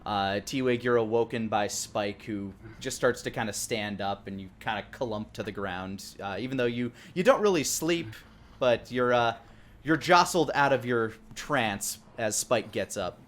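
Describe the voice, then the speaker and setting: A man improvising descriptive narration as the game master of a tabletop game, in a halting way. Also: medium pitch, a bit bright, a little hiss or hum.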